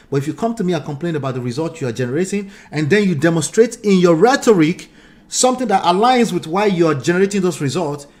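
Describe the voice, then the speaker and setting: A man in his forties with a Nigerian accent, reading viewer comments aloud and answering them with blunt rhetorical questions. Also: fairly high pitch. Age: 40s. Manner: emphatic, repeats points, asks pointed rhetorical questions. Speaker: relationship commentary host.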